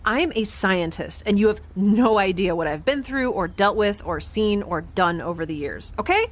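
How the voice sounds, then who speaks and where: A woman playing an injured, stubborn scientist in an audio drama, talking in a halting, defensive, naturalistic way. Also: mid-range voice, lively intonation, lightly acted. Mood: indignant, flustered. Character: injured, stubborn, rambling woman scientist, dazed but defiant.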